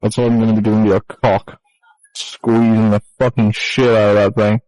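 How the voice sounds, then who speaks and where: A man's voice: fairly low pitch, level tone, moderate pace, a little mellow, no echo, rough recording. A man bantering casually with friends, joking crudely and swearing.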